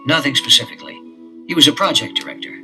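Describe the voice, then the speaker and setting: An actor playing a cautious, authoritative detective father who speaks in a measured way. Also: on the high side, pushed and bright, faint music behind, low-quality recording. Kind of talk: 1970s children's audio drama.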